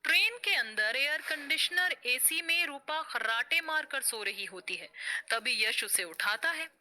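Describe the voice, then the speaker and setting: A woman's voice: high voice, expressive, measured pace, pushed and bright. A woman narrating a scripted, melodramatic story as the narrator. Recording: music bed under the voice.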